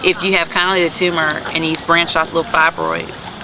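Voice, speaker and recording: A woman's voice: on the low side, flat delivery, moderate pace. A woman speaking casually as an author explaining characters in an interview. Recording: amateur video, noisy background.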